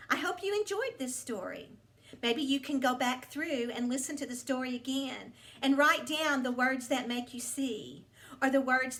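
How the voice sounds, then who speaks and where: A woman reading a story aloud for children in a deliberate, teacherly way. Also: high voice, fairly bright tone, reverberant. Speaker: storybook reader. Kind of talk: read-aloud narration for children.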